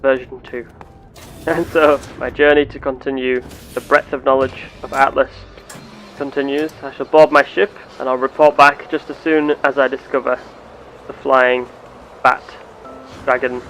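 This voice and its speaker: A man narrating his gameplay in character as an earnest, curious starship captain, with formal, deliberate wording. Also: medium pitch, level tone, a bit bright, soft background music, low-quality recording. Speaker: player of a roleplay game series.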